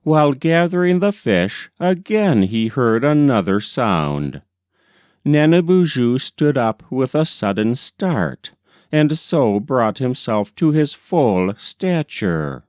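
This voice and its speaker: A man reading a folk tale as scripted audiobook narration, deliberate and expressive. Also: medium pitch, no room sound, studio quality. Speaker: audiobook reader.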